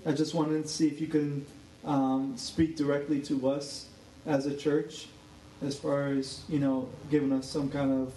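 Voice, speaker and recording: A church member asking a pastor for encouragement, halting and searching for words. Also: male voice, a little high, little pitch movement, warm tone, roomy sound, far from the mic, slight background noise, poor audio.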